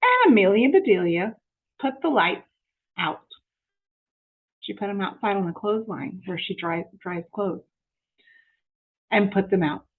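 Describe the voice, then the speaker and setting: A woman reading a storybook aloud to young children in an expressive, teacherly way, with explanatory asides and questions to the listeners. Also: mid-range voice, unhurried, pauses often, husky, narrow, tinny audio.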